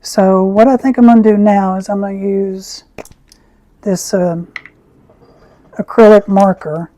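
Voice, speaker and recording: A woman with a raspy voice, who often clears her throat as she chats through an art tutorial. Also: mid-range voice, fairly even intonation, no room sound. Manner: slow, soft-spoken, frequent throat clearing. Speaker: art channel host. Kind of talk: casual art tutorial chat.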